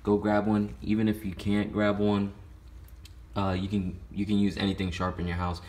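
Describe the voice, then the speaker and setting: A man's voice: on the low side, monotone, moderate pace, a little mellow. A man giving a casual step-by-step how-to while working on a car in a repair shop garage. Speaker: auto mechanic. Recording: echoey garage with faint noise.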